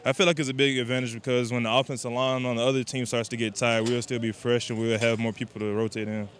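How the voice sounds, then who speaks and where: A man's voice: little pitch movement, fast, a bit bright. A young man from Georgia, giving interview answers as a college athlete. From Georgia, USA. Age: young adult. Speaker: college football player. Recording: noisy outdoor recording, traffic rumble.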